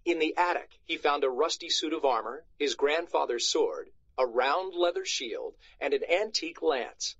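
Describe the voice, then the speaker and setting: A man reading a storybook aloud to schoolchildren in an expressive, dramatic narrating style, on a slightly muffled recording. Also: a little high, normal speed, few pauses, breathy edge, dry recording.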